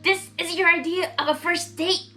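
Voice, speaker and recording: Woman putting on a brash, high-pitched sporty pony girl. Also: steady tone, moderate pace, sharp tone, faint music behind. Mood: excited, questioning. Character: brash, sporty young female pegasus pony.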